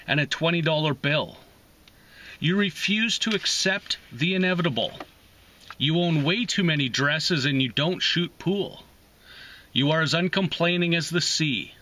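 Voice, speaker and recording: Forceful, deliberate man reading poetry. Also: fairly high pitch. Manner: deliberate, pausing between short phrases. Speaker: host of a poetry reading series. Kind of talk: slow, emphatic poetry reading. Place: inside a parked car.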